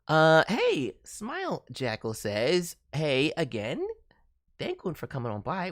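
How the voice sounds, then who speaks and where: Boastful cartoon raccoon livestream host: silly and showy, rambling at an unhurried pace with lively pitch jumps. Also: male voice. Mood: upbeat, showy. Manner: playful mangled words, showy, rambling. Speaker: host reviewing voice demo reels on a livestream. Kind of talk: livestream chat in a cartoon character voice.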